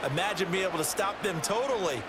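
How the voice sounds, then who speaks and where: A man giving measured, analytical color commentary in a football video game. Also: high voice. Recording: stadium crowd noise behind game audio.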